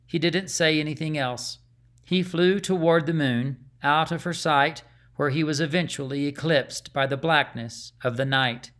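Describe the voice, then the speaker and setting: Measured male fantasy storyteller. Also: on the high side, fairly bright tone. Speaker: audiobook narrator. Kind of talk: scripted fantasy storybook narration.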